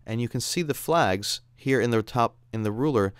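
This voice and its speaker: A man's voice: mid-range voice, normal speed, clean tone, no room sound. A man narrating an instructional screencast in a conversational, explanatory way. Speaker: audio software instructor.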